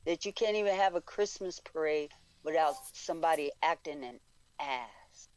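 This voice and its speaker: A woman guest on a live stream talk show, chatty and animated. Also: sits low, moderate pace, no room sound, low-quality recording.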